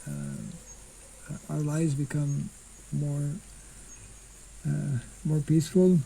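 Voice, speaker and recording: Slow, gravelly older man teaching outdoors. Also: a little high, level tone, warm tone, low-quality recording. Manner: slow, halting, clears throat often. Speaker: monk teaching scripture. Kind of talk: informal scripture class. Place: outdoors on a farm clearing. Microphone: stand mic in front.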